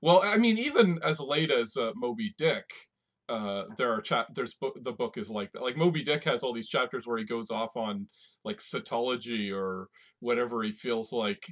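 A man's voice: medium pitch, expressive, moderate pace, fairly bright tone. A man co-hosting a podcast, chatting casually about books in loose, informal phrasing. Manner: informal, loose phrasing with "like", "right?" and "you know". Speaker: podcast co-host. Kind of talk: casual conversational book discussion.

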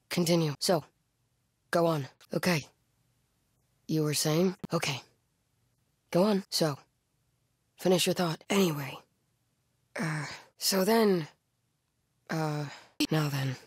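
An actress playing a wary, fierce female cult fighter in a video game, speaking in terse, clipped phrases with a husky voice. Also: deep voice, dry recording, studio quality, exaggerated voice. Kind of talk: video game enemy combat barks.

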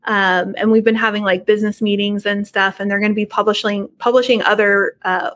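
A woman's voice: mid-range voice, flat delivery, a little fast, a bit bright. A middle-aged woman chatting casually and informally as a podcast guest on a video call from her home. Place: living room at home.

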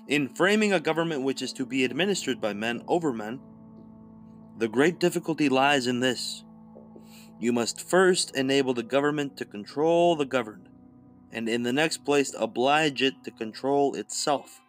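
A man giving a formal, scripted reading as a podcast narrator, in a measured, deliberate manner. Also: medium pitch, lively intonation. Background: music.

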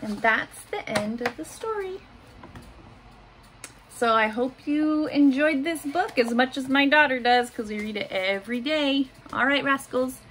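A woman in her thirties reading a children's picture book aloud in simple, deliberate phrases, adding little asides for young listeners. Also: high voice, a bit bright. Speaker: school staff member reading aloud to pupils. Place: small home office room, indoors. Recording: noticeable room noise behind voice.

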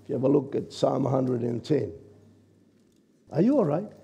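A man in his sixties, a pastor from New Zealand, preaching a sermon from a church stage with a croaky, gravelly voice and a conversational manner. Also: mid-range voice, lively intonation, moderate pace, dark tone, muffled recording. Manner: conversational preaching, checks in with the congregation. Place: church stage, large indoor hall. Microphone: stage mic at a lectern, far camera.

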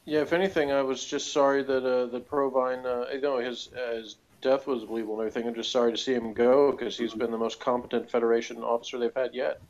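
Male co-host, medium pitch, informal and wry, feeling his way through sentences. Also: flat delivery, fast talker, hardly any pauses, a little mellow, dull audio. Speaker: co-host of a TV review discussion. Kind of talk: casual review chat.

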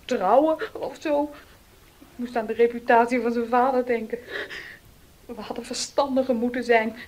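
A woman's voice: on the high side, fairly even intonation, moderate pace, darkish tone, lightly acted. An actress playing Gonda, a distressed young servant woman, in a 1970s radio play. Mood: troubled. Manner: pleading, tearful.

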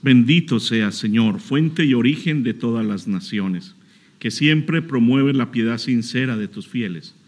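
A man's voice: mid-range voice, animated, measured pace, darkish tone. A man priest leading prayers and blessings at an outdoor shrine Mass, in a solemn recited cadence. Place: outdoor shrine chapel altar.

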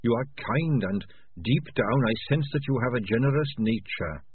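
A man voicing a small, earnest young male rat in a storybook, soft-spoken and sincere, recorded with a phone-like narrow sound. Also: mid-range voice, expressive, moderate pace, slight background noise, lightly acted. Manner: soft, sincere, slightly raised.

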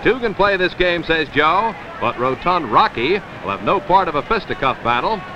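Wry American male newsreel narrator, 1950s sports style, fairly high voice on noisy film sound. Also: big pitch swings, normal speed, dull audio. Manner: wry, wordy wisecracking.

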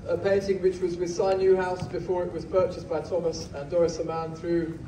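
A man working as an auctioneer, calling bids in a steady, rhythmic way in a large packed auction hall, recorded from the audience. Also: sits high, background noise. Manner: rhythmic, measured bid-calling. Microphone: podium mic, filmed by phone from the audience. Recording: distant phone recording in echoey hall. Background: room ambience.

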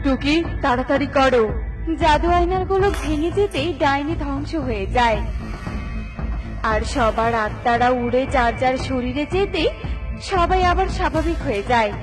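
A woman's voice: high-pitched, expressive, normal speed, music in the background. A woman narrating a scripted children's story.

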